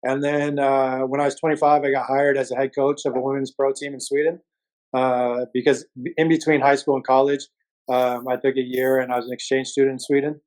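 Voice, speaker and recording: A man's voice: mid-range voice, little pitch movement, fairly quick, darkish tone, low-quality recording. A man speaking as an interview guest, a coach telling his story in a casual, laid-back and hesitant way.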